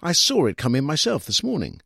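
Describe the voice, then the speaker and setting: A polite restaurant waiter, acted by a man reading a story, defensive and half-hearted. The voice is slightly husky and the recording dry. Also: a little high, wildly swooping pitch, fairly quick, fairly bright tone, dry recording, pitched above the performer's own voice, heavily acted.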